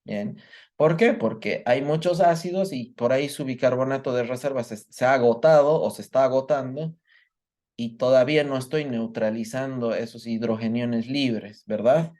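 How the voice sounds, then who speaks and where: A man teaches a live workshop. His voice sits at a middle pitch, and he works through each idea step by step. He stops often to ask his students whether they have understood. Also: normal speed, darkish tone. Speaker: medical lecturer. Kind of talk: live online workshop lecture.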